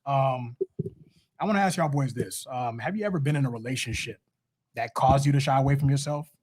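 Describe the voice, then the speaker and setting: A man's voice: mid-range voice, fairly quick, a little mellow, husky. A man from Miami, hosting a casual live podcast chat. He talks in a laid-back way, puts questions to his guests and keeps checking in with them. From Miami, United States. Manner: laid-back, asks questions, often says "you know what I'm saying". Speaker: podcast host.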